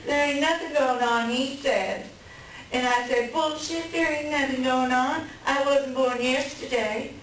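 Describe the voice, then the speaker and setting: A woman's voice: sits high, steady tone, a little slow. A woman playing an upset, bitter wife venting about her cheating husband in a staged play reading. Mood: distraught, embarrassed. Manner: agitated, colloquial venting. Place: library room reading before an audience. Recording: very echoey room, distant mic, faint noise.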